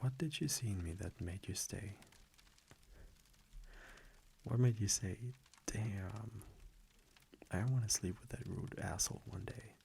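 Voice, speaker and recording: A man playing a tender, teasing vampire boyfriend in an intimate ASMR roleplay, speaking softly and affectionately with a breathy voice that dips toward whispers. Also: lowish voice. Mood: curious, wistful. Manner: soft, unhurried, affectionate, gently teasing. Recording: faint background noise, crackling fireplace ambience.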